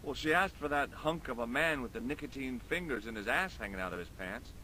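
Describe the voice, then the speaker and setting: Wisecracking American adventurer, dry jokes, lively pitch, game hero. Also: male voice, a little fast, slight background noise, poor audio. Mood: joking. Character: cheeky young American man adventurer, wisecracking. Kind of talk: game voice acting and narration.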